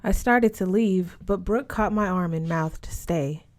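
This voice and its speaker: A woman with a slightly low voice reads a young adult novel aloud. Her delivery is scripted narration, expressive in the manner of an audiobook reader. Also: a little slow.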